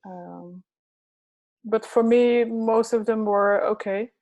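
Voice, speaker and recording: A middle-aged woman from the Netherlands, co-hosting a casual podcast chat in a hesitant way with many pauses. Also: fairly high pitch, fairly even intonation, darkish tone, dull audio. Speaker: podcast co-host, coach.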